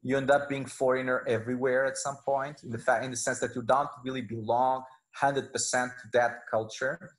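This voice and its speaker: A middle-aged man from Portugal, a native Portuguese speaker with a Portuguese accent, giving halting, conversational interview answers as a professor on a video call. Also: mid-range voice, level tone. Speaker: law professor, interview guest. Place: indoor room, video call. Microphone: wired earphones on a video call.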